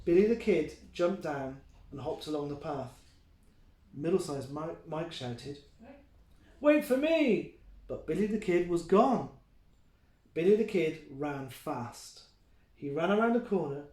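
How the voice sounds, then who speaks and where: Man, children's storybook reader, unhurried pace, slightly high pitch, deliberate expressive phrasing, echoey recording. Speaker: early years teacher reading stories. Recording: roomy, echoing sound.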